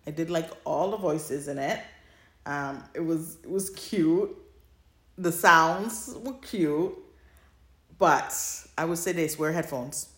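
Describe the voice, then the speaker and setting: A woman with a low-pitched voice talks casually as a podcast co-host. She is playful and emphatic, informal in her wording, and the room adds noticeable echo around her. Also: slow pace. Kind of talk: casual chat about audio dramas. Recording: roomy, echoey sound.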